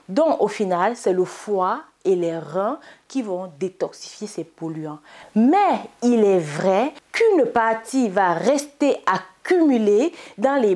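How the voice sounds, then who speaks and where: A woman's voice: medium pitch, dark tone. A woman presenting an explanatory health lesson as a channel host, in a didactic, step-by-step way. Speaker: host of a medicinal plant channel.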